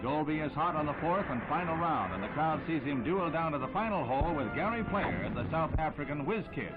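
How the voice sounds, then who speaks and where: A man's voice: a little high, lively intonation, fairly quick. An American man narrating a 1960s newsreel in a dramatic, punchy announcer style. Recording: tinny vintage newsreel soundtrack, loud music.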